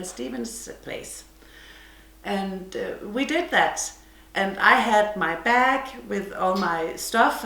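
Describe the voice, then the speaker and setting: A woman's voice: medium pitch, a bit bright, roomy sound. A woman from Denmark with a Danish accent, hosting her podcast from her living room in a chatty, self-deprecating monologue. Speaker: podcast host. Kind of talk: casual monologue to camera.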